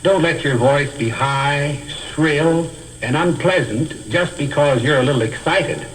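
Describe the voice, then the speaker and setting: A man giving a scripted instructional lecture as a speech teacher, in a deliberate, carefully enunciated, didactic manner. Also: on the high side, low-quality recording. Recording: hissy old educational film soundtrack.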